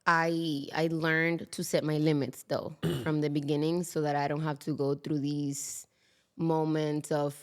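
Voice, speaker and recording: Smooth-voiced woman, laughing guest. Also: low-pitched, measured pace. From Dominican Republic. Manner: animated, laughs often, many fillers. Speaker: guest, model. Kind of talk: casual interview chat. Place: South Florida.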